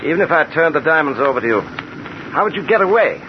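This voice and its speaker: Stern captain, noisy old radio. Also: male voice, a little high, very animated, quick pace, muffled recording. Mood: defiant. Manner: formal, commanding. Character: stern, authoritative male ship's captain. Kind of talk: old-time radio thriller acting.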